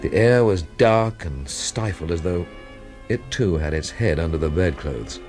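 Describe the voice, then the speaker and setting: An actor playing a cynical English investigator who narrates his own story in a deadpan, sardonic radio drama style. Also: low-pitched, unhurried, music in the background. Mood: brooding, wry.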